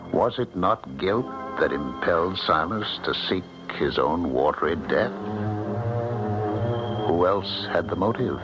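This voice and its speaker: An actor playing a cautious, grave small-town doctor in a 1970s radio drama, speaking in a measured, hesitant way. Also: male voice, sits low. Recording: muffled old radio broadcast, music behind.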